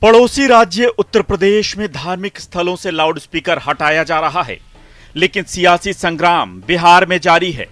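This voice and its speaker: Guy reading a news report, high forceful voice, music underneath. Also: animated, normal speed. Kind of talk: scripted news narration.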